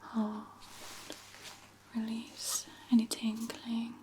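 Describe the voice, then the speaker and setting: A woman doing a soft-spoken ASMR medical roleplay as a physiotherapist, with a gentle, reassuring, whispery manner. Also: a little high, completely flat delivery, drawn-out words, noisy background. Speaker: ASMR performer playing a physiotherapist.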